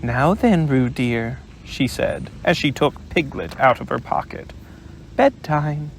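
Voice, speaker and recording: A man's voice: medium pitch, lively intonation, slow pace, a little hiss or hum, poor audio. A man voicing a motherly female kangaroo who is cheerful, soothing and firm.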